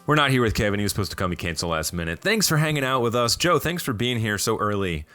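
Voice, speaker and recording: An American man with a slightly raspy voice, chatting casually and with some self-deprecation as the host of a video game podcast. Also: fairly low pitch, lively intonation, fast talker, sharp tone, soft background music.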